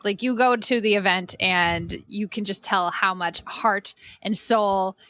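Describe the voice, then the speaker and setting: Woman cohost chatting away, bright voice, dry close audio, really enthusiastic. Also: medium pitch, steady tone, measured pace, no echo. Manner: enthusiastic, complimentary. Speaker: podcast co-host. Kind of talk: casual chat.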